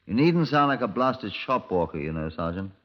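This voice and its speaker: Deep, irritable British police inspector. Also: male voice, sing-song, normal speed. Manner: sardonic, curt, formal. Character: dry, irritable Scotland Yard chief inspector. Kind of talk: 1950s radio drama narration and acting. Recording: dull lo-fi old radio recording.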